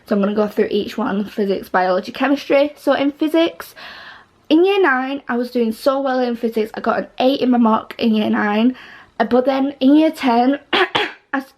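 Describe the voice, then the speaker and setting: A teenage girl chatting to camera, rambling with lots of fillers and restarts, her voice a little croaky. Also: a little high. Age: teens. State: slightly croaky voice. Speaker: vlogger. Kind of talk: casual chat to camera.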